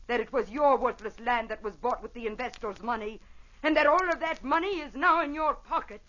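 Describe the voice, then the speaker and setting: An actress playing a vengeful Italian opera singer and wronged mother in a radio drama, with an Italian accent and theatrical, deliberate delivery. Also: mid-range voice, a bit bright, close to an everyday voice. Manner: theatrical, deliberate, formal phrasing. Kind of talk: old-time radio drama acting. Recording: dull vintage radio recording.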